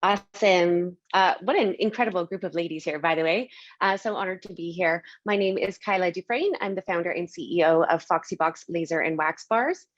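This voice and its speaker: A woman's voice: fairly low pitch, brisk, no room sound, lo-fi sound. A woman from Canada, founder and CEO, speaking energetically on a panel. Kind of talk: panel discussion.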